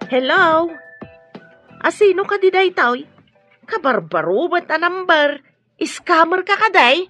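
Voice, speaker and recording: A woman playing a nosy, easily flustered household servant in an audio drama, blunt and excitable. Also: very high voice, slow, soft background music, exaggerated voice. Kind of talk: Ilocano audio drama acting.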